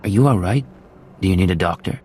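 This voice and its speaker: An actor playing a curt, hard-boiled mercenary in a video game, terse and controlled. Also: male voice, low-pitched, animated, fast talker, a little mellow, a little hiss or hum. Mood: dry, controlled. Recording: long reverb, faint music.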